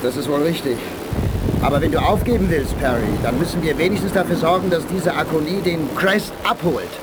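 Male sidekick for space radio drama, acted: blunt, loyal, slightly high, heavy noise behind. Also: brisk, lightly acted. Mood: worried. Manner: blunt, excitable. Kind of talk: 1980s German science-fiction radio play acting. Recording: loud background noise.

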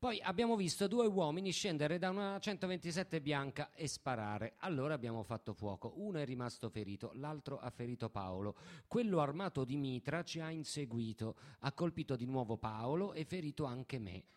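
A man's voice: medium pitch, lively intonation, moderate pace, natural voice. An actor playing a young male leftist militant giving his account in a radio theatre reading. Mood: grave, testifying. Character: young armed leftist militant recounting a shootout.

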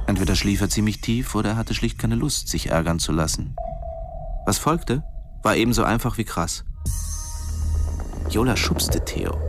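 A man playing a wry, defensive diving instructor who narrates his story laconically in a radio drama. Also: deep voice.

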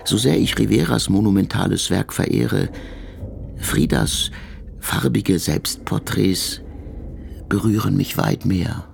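An actor playing an aging, wary, dignified exiled Russian revolutionary in a radio drama, speaking in measured, formal phrases with a creaky voice. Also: male voice, lowish voice, a little hiss or hum, heavily acted. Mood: reflective.

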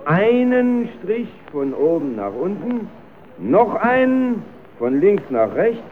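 An actor playing an instructive, easily exasperated carousel ticket seller in an old radio play. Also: male voice, sits high, big pitch swings, takes it slowly, exaggerated voice. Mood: patient, businesslike. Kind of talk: old radio play, comic dialogue acting. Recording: tinny, narrow-band old radio recording.

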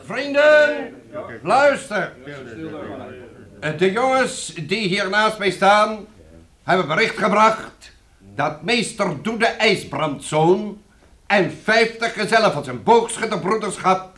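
An actor playing an earnest guild master addressing a meeting in a radio play, formal and measured. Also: male voice, high voice, animated, fairly bright tone, heavily acted.

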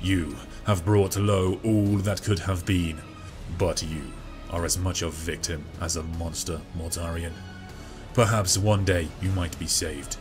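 A man voicing a commanding demigod warrior-king, speaking with a grave, slow, booming delivery in an audiobook. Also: steady tone, over music. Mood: stern judgment. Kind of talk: audiobook character voice.

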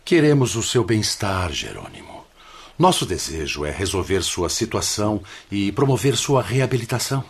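Radio play: patient paternal Brazilian counsellor, low voice, wide expressive intonation, formal and solemn. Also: male voice, breathy edge, full character voice. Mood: earnest, admonishing. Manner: solemn, measured, admonishing. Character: patient, paternal spiritual counsellor, grave and benevolent hospital director. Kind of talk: formal radio drama acting.

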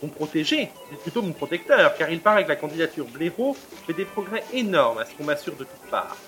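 An actor playing a smooth-talking, aloof provincial lawyer in an audio drama, formal and urbane. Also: male voice, fairly high pitch, big pitch swings, fairly quick, a bit bright, soft background music, low-quality recording. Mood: aloof, wry. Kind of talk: French audio drama acting.

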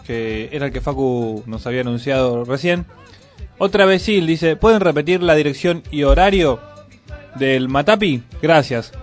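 Guy co-hosting radio, voice a bit high, reading out info kinda hesitantly, soft music behind. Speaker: radio co-host. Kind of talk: casual live radio announcements. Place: radio studio.

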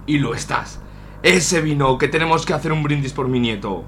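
A man acts as a crude old grandad in a comic radio serial. He is proud and gloating, with a bright, rather high voice over a noisy background. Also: normal speed. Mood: boastful, jovial. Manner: coarse, swearing, gloating. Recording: noisy recording with ambient sound.

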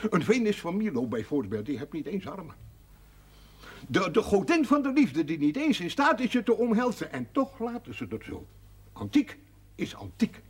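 High, lively droll old villager. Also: male voice, breathy edge, heavily acted. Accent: Dutch. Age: 70s. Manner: folksy, droll, self-assured. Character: quirky, proud old villager, stubborn and cheerful tinkerer. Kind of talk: television drama acting. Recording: faint background noise, television soundtrack.

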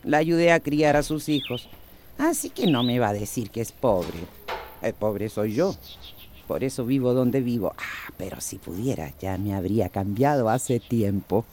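Radionovela: criada centroamericana mayor, deslenguada y regañona, entonación muy viva, leve ruido de fondo. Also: female voice, a bass voice, lively intonation, unhurried, a little mellow, slight background noise. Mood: cheeky grumbling. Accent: Central American. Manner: scolding, teasing. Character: sharp-tongued, cheeky older housekeeper of long service. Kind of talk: radio soap opera acting.

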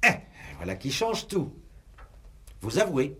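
An actor playing an insistent, exasperated examining magistrate in a 1960s French radio police play, pressing and sarcastic in a formal, theatrical way. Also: male voice, a little high, big pitch swings, slow, bright, forceful tone, husky, heavily acted. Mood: triumphant, sharp.

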